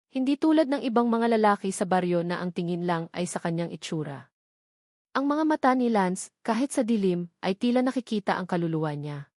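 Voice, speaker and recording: A woman's voice: mid-range voice, a little mellow, no echo, studio quality. A woman with a Filipino accent narrating a dramatic story from a script.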